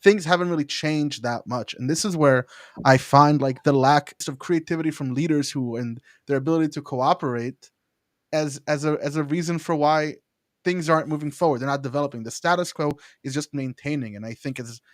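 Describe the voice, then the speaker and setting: A man's voice: a little high, no echo, studio quality. A man from Israel hosting a podcast, talking casually and using lots of "like". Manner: quick, uses filler "like" often. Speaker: podcast host.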